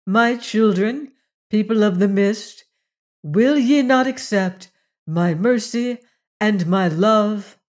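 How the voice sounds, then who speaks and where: A man reading a solemn priestess proclaiming. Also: high-pitched. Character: Juana, solemn young woman posing as goddess.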